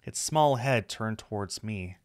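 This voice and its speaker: A man acts out a shy rabbit-eared student from a visual novel, anxious but curious. The voice is fairly low, with a hesitant, wry inner-monologue delivery. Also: animated, unhurried, no echo, clean studio sound.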